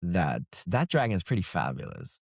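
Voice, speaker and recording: Low-voiced man, casual author interview. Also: sing-song, normal speed, creaky, a little mellow, no room sound, clean studio sound.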